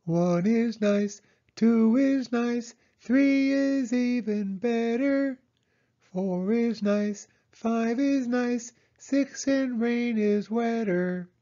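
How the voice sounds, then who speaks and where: A man voicing a cheerful, eager little girl mouse with an excited singsong manner in a children's storybook. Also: very high-pitched, extremely slow, muffled recording, voice pushed up, exaggerated voice. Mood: singing sweetly.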